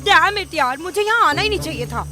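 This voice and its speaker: A woman playing a bossy, suspicious, quick-tempered young business partner in an audio drama, indignantly mixing English into Hindi. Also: very high voice, expressive, over music. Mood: exasperated.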